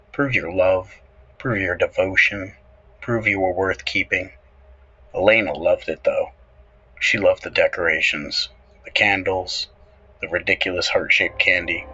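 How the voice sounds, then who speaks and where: A man hosting and narrating a scripted horror story with measured, deliberate phrasing. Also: low-pitched, sharp tone, breathy edge, dull audio. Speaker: horror show host and narrator.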